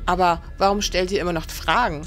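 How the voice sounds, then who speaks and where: A woman's voice: fairly low pitch, steady tone, a little fast, fairly bright tone, faint music behind, natural voice. A woman playing a plain-spoken, guarded innkeeper of about forty in a historical radio play. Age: middle-aged.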